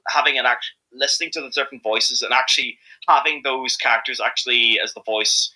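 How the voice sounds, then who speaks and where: A man's voice: mid-range voice, steady tone, fairly quick, bright, forceful tone, low-quality recording. A man with an Irish accent chatting casually as an interview guest, hesitant and rambling but enthusiastic. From Ireland. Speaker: interview guest, amateur fandub voice actor.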